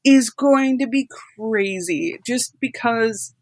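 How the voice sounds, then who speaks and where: A woman's voice: a little high, takes it slowly, a little mellow, no echo. A woman who edits sound effects, chatting casually and animatedly with frequent laughter. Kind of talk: casual chat.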